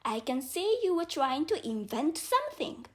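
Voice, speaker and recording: A woman voicing a fretful, scolding, contemptuous pigeon for a storybook, shrill and exclamatory. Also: big pitch swings, moderate pace. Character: fretful, indignant, contemptuous female pigeon guarding her eggs. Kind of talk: storybook character voice.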